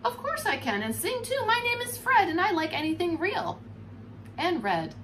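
A woman's voice: very high voice, very animated, moderate pace, a bit bright, distant mic, a little hiss or hum, voice pushed up, exaggerated voice. A woman voicing a friendly, cheerful male snowman in a children's storybook, in a jolly sing-song manner.

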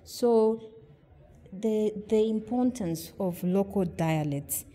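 A woman's voice: mid-range voice, slow, warm tone. Earnest woman explaining with examples. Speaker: podcast guest. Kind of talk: conversational interview.

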